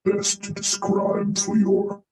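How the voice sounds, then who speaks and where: A man's voice: high voice, completely flat delivery, slow pace, darkish tone, echoey room, soft background music. A man voicing a condescending supernatural being who speaks in portents of doom. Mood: grave, scornful.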